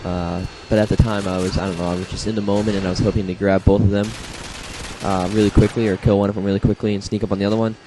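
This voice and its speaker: A young man, slightly hoarse from a congested throat, rambling casually through his own match footage with many fillers and self-deprecating asides. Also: on the low side, level tone, quick pace, noisy background. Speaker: gameplay commentator. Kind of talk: casual gameplay commentary.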